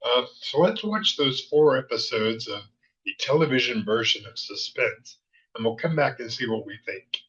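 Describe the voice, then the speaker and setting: A man hosting a casual commentary on old shows, speaking haltingly. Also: medium pitch, lively intonation, fairly bright tone, lo-fi sound. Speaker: host.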